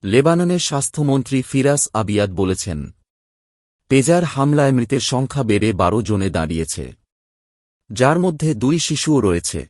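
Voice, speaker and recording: A man's voice: mid-range voice, a little mellow, no room sound, clean studio sound. A man with an Indian Bengali accent reading scripted news narration as a presenter.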